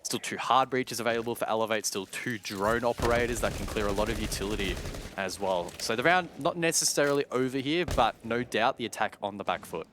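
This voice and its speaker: A man's voice: mid-range voice, a little fast, noisy background. A man giving live analytical color commentary on an esports match, breaking down plays with occasional fillers. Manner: analytical, thinks aloud with fillers.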